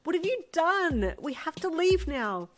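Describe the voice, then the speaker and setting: A woman's voice: medium pitch, fairly even intonation, brisk, slight background noise, lightly acted. A woman voicing a frightened, fiery young woman who speaks in an exasperated, raised voice, with music underneath. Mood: shouting. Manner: shouting, exasperated.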